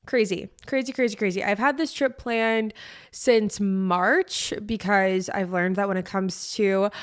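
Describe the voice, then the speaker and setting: A young woman with an American accent chats as a solo podcast host. Her voice sits at an average pitch, and her delivery is informal and effusive, leaning on words and repeating them for emphasis. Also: steady tone, a little slow, clear, resonant tone. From Connecticut, US East Coast. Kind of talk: casual chatty solo podcast.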